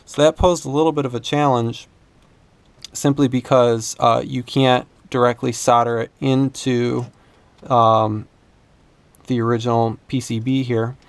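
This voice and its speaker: A man explaining a hands-on repair casually, in a hesitant, halting way. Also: medium pitch, fairly even intonation, dry recording. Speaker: repair video host.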